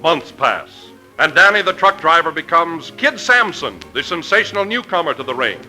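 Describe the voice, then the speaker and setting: A man's voice: a little high, sing-song, normal speed, over music. A man delivering scripted narration as an announcer in 1940s radio style.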